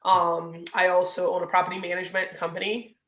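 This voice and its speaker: A woman's voice: fairly low pitch, monotone, brisk, a bit bright, echoey room. A woman from Trinidad and Tobago talking earnestly about leadership as an interview guest. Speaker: guest, real estate team leader. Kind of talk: casual interview over video.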